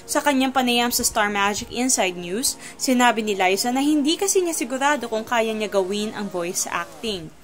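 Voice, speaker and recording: A woman presenting entertainment news as a scripted voice-over at a brisk, even pace. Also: on the high side, soft background music.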